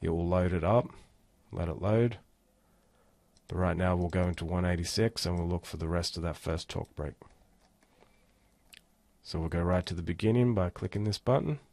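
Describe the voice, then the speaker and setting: A man giving a casual, step-by-step software walkthrough as an instructor. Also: low voice, normal speed, creaky, pauses often, no echo. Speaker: tutorial instructor. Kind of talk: screen-recorded software tutorial, casual walkthrough.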